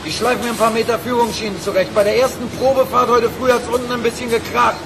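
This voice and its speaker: Harried gruff fitter in a radio play, talks fast, workshop racket behind. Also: male voice, extremely high pitch, little pitch movement, crowd noise, noisy background. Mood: defensive. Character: harried working lift fitter, grudging employee. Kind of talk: German radio crime drama acting.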